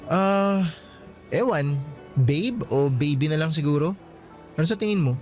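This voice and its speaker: A teasing young boyfriend. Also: male voice, fairly high pitch, lively intonation, a little slow, darkish tone, soft background music. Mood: playful. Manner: playful and teasing, but frank when serious. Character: teasing, affectionate young male student boyfriend. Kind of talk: radio drama acting.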